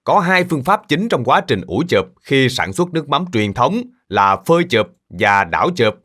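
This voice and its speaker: A man's voice: mid-range voice, big pitch swings, moderate pace, a bit bright. A man playing a kindly middle-aged uncle in a cartoon, patiently explaining things to children. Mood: patient, instructive. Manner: patient, instructive. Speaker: fish sauce maker explaining to children.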